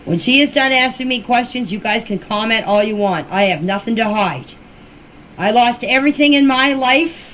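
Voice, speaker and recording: A woman's voice: on the high side, moderate pace, fairly bright tone. A woman giving a defensive, insistent personal account in answer to questions, speaking emphatically in an informal voice message. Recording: noisy amateur voice-clip recording.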